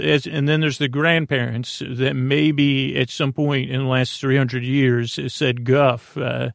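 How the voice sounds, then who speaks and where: A man hosting a sleep podcast, with a creaky, gravelly voice, rambling in a meandering, halting monologue that often stops and restarts. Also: medium pitch, no room sound, studio quality. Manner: meandering, halting, self-deprecating.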